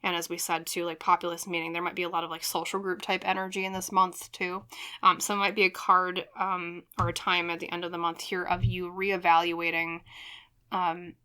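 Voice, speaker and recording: A woman's voice: lowish voice, level tone, a little fast, sharp tone, clear, resonant tone. A woman hosting a tarot reading in a casual, conversational way, talking reflectively and ramblingly straight to her viewers. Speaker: tarot reader and channel host.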